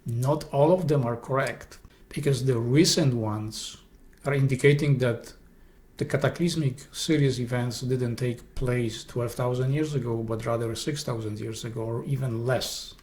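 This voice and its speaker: A man speaks English with a Polish accent, Polish being his first language. He hosts an esoteric presentation in an earnest, halting way, often restarting his sentences. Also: medium pitch, darkish tone. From Poland. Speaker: host.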